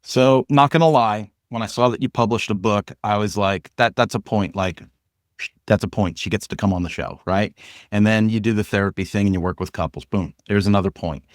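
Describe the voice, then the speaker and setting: A man's voice: fairly low pitch, fairly even intonation, fairly quick, no room sound, studio quality. A man hosting a podcast, upbeat and chatty, joking casually with his guest. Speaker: podcast host. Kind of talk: casual interview.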